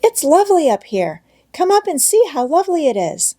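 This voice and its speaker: Woman voicing an eager high-pitched little girl. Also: very animated, fairly quick, warm tone. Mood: delighted. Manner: eager, childlike, plain-spoken. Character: cheerful eager young orphan girl, innocent and bright. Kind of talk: storybook read-aloud character voice.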